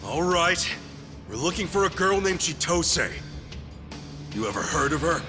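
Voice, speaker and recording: An actor playing a determined, earnest, rough-edged hero in a game cutscene, direct and colloquial. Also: male voice, a little high, very animated, measured pace, over music. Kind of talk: dramatic game cutscene acting.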